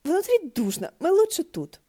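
Женщина изображает восторженного болтливого юношу. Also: female voice, very high voice, moderate pace, no echo, studio quality, voice pushed up, exaggerated voice. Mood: eager. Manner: eager, dramatic, animated. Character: exuberant, chattering young man, an immortal cultivator. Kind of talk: fan fiction audiobook dialogue.

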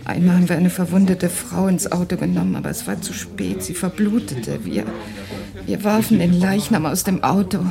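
An actress playing an anguished young refugee wife in a radio play, speaking haltingly and intensely, at times breathy. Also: female voice, lowish voice, sing-song, crowd noise. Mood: haunted recollection. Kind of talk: dramatic radio play acting.

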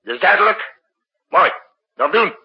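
An actor playing a commanding, harried state leader with a brisk, imperious manner in a 1960s radio drama. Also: male voice, high-pitched, lively intonation, breathy edge, full character voice. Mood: urgent, commanding. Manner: brisk, imperious, muttering to himself between orders. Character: commanding, harried male state leader issuing urgent emergency orders. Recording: dull, muffled 1960s radio recording.

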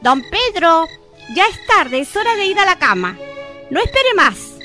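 A woman with an Argentine accent playing a strict, officious care-home attendant in a radio drama, with brisk delivery. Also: very high voice, big pitch swings, bright, forceful tone, soft background music, exaggerated voice. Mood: brisk, impatient.

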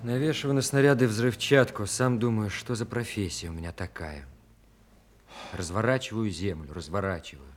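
A man acting a brooding soldier in a radio drama, with a lowish voice. He muses plainly and mournfully, yet stays dutiful, a military sapper to the core. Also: moderate pace, lightly acted. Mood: brooding, regretful. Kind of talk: Soviet radio play acting.

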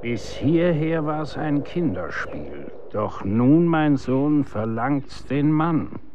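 An actor in a German radio drama playing a stern, grave executioner instructing his son in commanding, archaic, measured diction. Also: a little high, big pitch swings, highs cut off, slight background noise.

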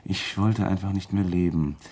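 A fanatical murderer in a radio thriller. His voice sits low and is rough with huskiness. He speaks deliberately and insistently, repeating himself like a cool, menacing lecturer convinced of his mission. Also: mellow. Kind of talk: German radio crime drama acting.

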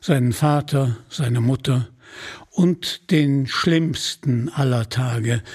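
A man reads a scripted storybook narration in a measured way. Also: fairly high pitch, darkish tone, breathy edge.

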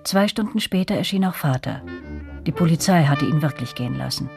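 An actress narrating a radio drama as a reflective woman recalling her girlhood, with a breathy, husky voice. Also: low voice, expressive, fairly quick, over loud music. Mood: reflective, uneasy.

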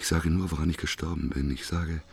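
An actor playing a despairing, bitter prophet with a hoarse voice in a German radio play. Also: male voice, rumbling low, fairly even intonation, fast talker, darkish tone, heavily acted. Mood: weary despair. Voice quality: hoarse, gravelly, rasping. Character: despairing old doomsday prophet, weary and bitter.

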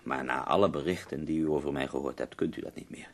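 An actor in a radio play voicing an ailing, embittered nineteenth-century poet with formal, ironic, deliberate speech. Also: male voice, extremely low pitch. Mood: earnest, defensive. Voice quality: gravelly, husky. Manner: formal, deliberate, articulate, wry. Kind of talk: Dutch radio play acting.

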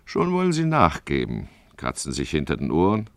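An actor playing a weary, sardonic town judge in a radio play, shifting between confiding narration and declaimed appeals for peace. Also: male voice, extremely low pitch, huge pitch swings, moderate pace, dry recording, studio quality, full character voice. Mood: wry, resigned. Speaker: judge and first-person narrator.

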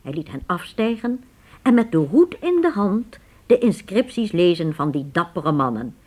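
Husky, animated woman narrator. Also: lowish voice, moderate pace. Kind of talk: scripted radio drama narration, storytelling.

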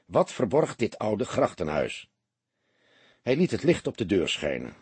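A man narrating a literary crime story as an audiobook, in an expressive storytelling manner. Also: lowish voice, a little slow, slightly rough, dry recording.

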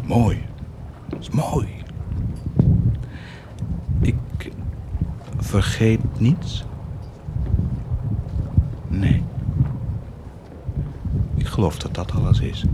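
An actor playing a proud, cruel, blasphemous warlord in a theatrical radio drama, declaiming and mocking. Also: male voice, on the low side, very noisy, full character voice. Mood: brooding. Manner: declamatory, mocking, swinging from hushed to shouted.

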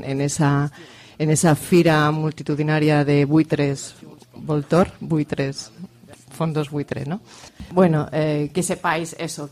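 Halting, low-pitched woman presenting. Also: mellow. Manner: halting, searching for words. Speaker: presenter at a book launch. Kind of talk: informal public speech, introducing a book.

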